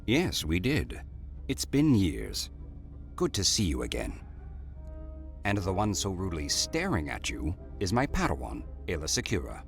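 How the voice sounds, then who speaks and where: A man acting a stern Jedi master and mentor in a space-saga dub. His voice is very deep and gravelly, terse and dignified, over faint music. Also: huge pitch swings, measured pace, full character voice. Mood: formal, dry.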